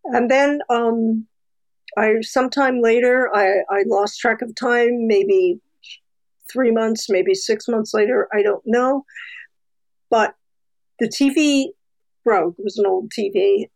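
Gravelly-voiced woman telling a family story. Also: fairly high pitch, steady tone, slow pace, rough recording. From New Jersey, USA. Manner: chatty, candid, frequent fillers and restarts. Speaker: documentary filmmaker guest. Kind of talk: casual interview storytelling.

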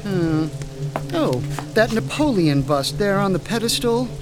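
An actor playing a cultured, coldly curious mad doctor in a radio drama, fussy and chillingly detached. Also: male voice, sits high, sing-song, unhurried. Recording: music and rain behind.

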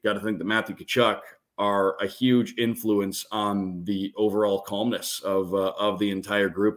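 A man's voice: on the low side, fairly bright tone. Man giving emphatic sports analysis. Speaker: radio play-by-play announcer, podcast guest. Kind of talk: sports analysis in a podcast interview.

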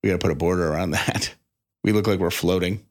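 A man's voice: sits low, fairly even intonation, fast talker. A man co-hosting a podcast in casual conversation, often rephrasing himself as he goes. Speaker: podcast co-host.

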